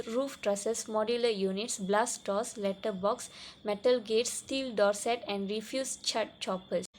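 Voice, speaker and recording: A woman's voice: mid-range voice, slight background noise. A young woman, a student, evenly reading a prepared company report aloud. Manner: reads evenly from a script. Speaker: student presenter.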